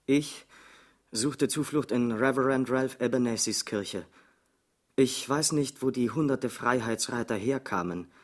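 Actor as an earnest activist, medium-pitched voice. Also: male voice, measured pace, close to an everyday voice. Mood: earnest, relieved. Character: male civil rights activist recalling refuge, earnest survivor. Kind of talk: radio drama testimony.

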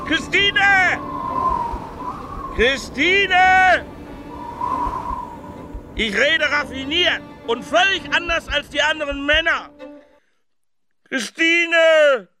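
An eccentric, lovelorn suitor in a radio drama, declaiming obsessively. The voice is extremely high and drawn out very slowly, with music behind it. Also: female voice, animated, heavily acted. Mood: pleading, yearning. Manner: slow, declaiming, calling out. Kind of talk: radio play monologue.

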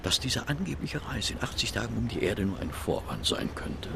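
An actor playing a nervous, scheming detective in a radio play, hesitant, insistent and apt to repeat himself. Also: male voice, fairly low pitch, big pitch swings, moderate pace, vocal fry, background noise. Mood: confidential, probing. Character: nervous, scheming male detective trailing an English gentleman.

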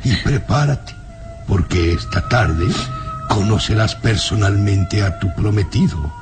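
An actor playing a grave, imperious old nobleman father in a radio drama, speaking in a commanding, deliberate way. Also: lowish voice, animated. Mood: somber, consoling. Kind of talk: radionovela acting. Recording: vintage radio drama with music behind.

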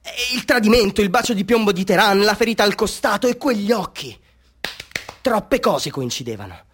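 Husky, fervent radio-drama storyteller. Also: male voice, high-pitched, expressive, brisk, heavily acted. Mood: fervent revelation. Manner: theatrical, swings between hushed confiding and fervent outbursts. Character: excitable amateur photographer, a fervent, self-doubting male storyteller.

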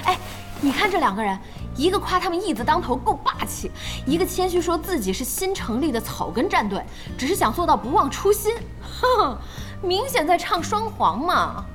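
A spirited young female gamer in an audio drama. She is inquisitive and gossipy, with a high voice and very animated intonation, with music underneath. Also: moderate pace. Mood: surprised, eager, then scornful, doubtful. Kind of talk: audio drama acting in dialogue.

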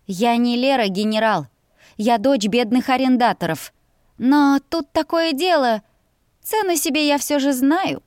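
A woman voicing a proud, fiery young heroine, sharp, scolding and defiant, in an audiobook story. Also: sits high, lively intonation, measured pace, no room sound, clean studio sound, pitched above the performer's own voice. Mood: angry, defiant.

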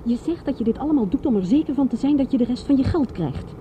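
A woman's voice: high-pitched, expressive, fast, phone-quality sound, slight background noise. An actress playing a shrewd, frank woman who accompanies a detective in a radio drama, questioning him in a blunt, dry way. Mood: challenging.

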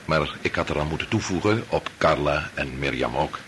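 Older Dutch doctor, deep voice with wide swings, formal, commanding; radio drama, clearly noisy recording. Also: male voice, expressive, normal speed, fairly bright tone. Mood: formal, resolute. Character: authoritative older doctor, father leading a vaccine trial.

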